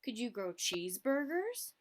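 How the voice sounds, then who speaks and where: A woman's voice: a little high, sing-song, a little slow. A woman voicing a hungry, scruffy male raccoon in a children's storybook, pondering and eager. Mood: hungry curiosity.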